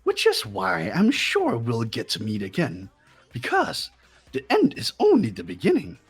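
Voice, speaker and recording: A man's voice: huge pitch swings, measured pace, creaky, slightly rough, faint music behind, full character voice. A man voicing a mischievous, sinister male bear mascot in a fan-dubbed game, with a singsong, theatrical delivery. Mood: playfully ominous. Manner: singsong, theatrical, wild pitch swings.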